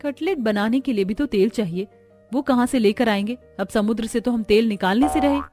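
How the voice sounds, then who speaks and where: A woman's voice: a little high, fairly quick, dark tone, faint music behind, lightly acted. A woman voicing a fretful, talkative young daughter-in-law in a cartoon family story, exclaiming and questioning. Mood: worried. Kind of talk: Hindi cartoon dubbing.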